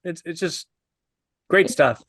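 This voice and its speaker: A man in his fifties with a fairly high, creaky voice talks casually on a panel. He thinks aloud and keeps refining his points. The recording is dry. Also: slow pace, fairly bright tone, dry recording. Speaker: film review panelist. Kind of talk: casual discussion. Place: indoors on a video call.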